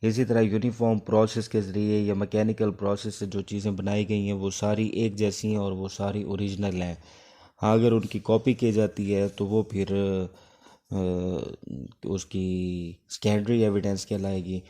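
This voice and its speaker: A man with a Pakistani accent gives an informal exam-prep law lecture, mixing in English legal terms, in a halting, instructive way with pauses between phrases. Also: on the low side, little pitch movement, mellow.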